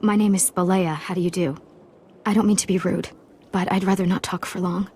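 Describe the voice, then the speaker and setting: A woman playing a worried widowed mother in a video game, speaking politely and softly with some hesitation. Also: fairly low pitch, fairly even intonation, a little fast, slight background noise, lightly acted. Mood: reserved, worried. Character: worried widowed mother, reserved but grateful. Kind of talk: dramatic video game acting.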